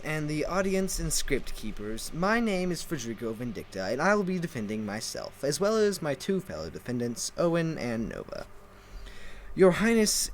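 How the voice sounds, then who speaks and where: A man's voice: on the high side, a little hiss or hum. A man playing an earnest, grieving father and former master turned raider, speaking formal, pleading courtroom oratory in an audio drama. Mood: formal, impassioned.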